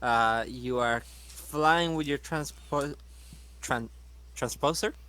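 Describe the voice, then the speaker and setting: A man's voice: medium pitch, takes it slowly, sharp tone. A man playing a tabletop role-playing game casually in character, over a slightly noisy recording.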